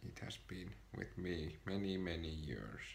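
A man's voice: low-pitched, expressive, a little slow, vocal fry, a little hiss or hum. A shy young Finnish man with a Finnish accent talking hesitantly to a camera from his sofa at home, English not his first language. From Finland. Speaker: vlogger. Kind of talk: personal vlog to camera. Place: small room, seated on a sofa. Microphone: camera in front of him.

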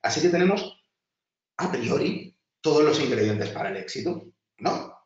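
Chatty instructor, distant roomy audio. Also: male voice, fairly high pitch, expressive, normal speed, dull audio. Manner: chatty, many restarts and filler, addresses class directly. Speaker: design instructor. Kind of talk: webinar lecture over slides.

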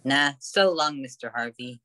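A man's voice: medium pitch, a little slow, bright, forceful tone, dry recording. A young man playing a casual, card-playing newsboy in a radio play, offhand, on an earphone mic. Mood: offhand, cheeky. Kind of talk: old-time radio play acting. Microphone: earphone mic on video call.

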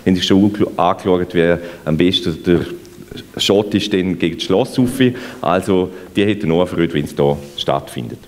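A man's voice: sits low, moderate pace. A young man with a presenting on stage with a handheld mic, chatty and loose, with fillers. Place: event hall, on stage. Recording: long hall reverb.

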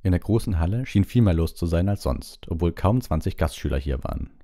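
A man's voice: low-pitched, animated, brisk, mellow. A man reading a storybook aloud as an audiobook narrator, in scripted narration.

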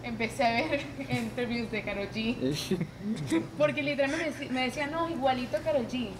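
A woman's voice: on the high side, normal speed. A young woman, an acting guest on a podcast, telling stories casually and informally with English words dropped in. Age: young adult. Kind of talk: casual storytelling chat. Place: shop with shelves of goods, indoors. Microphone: podcast mic at table. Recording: echoey, noisy indoor shop.

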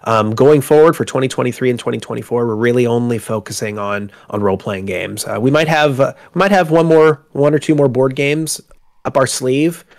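A man's voice: mid-range voice, brisk. A man with a congested, slightly sick voice, talking casually as a guest about running his tabletop game company, with many fillers and restarts. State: congested from a cold. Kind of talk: casual interview conversation. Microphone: home microphone on a call.

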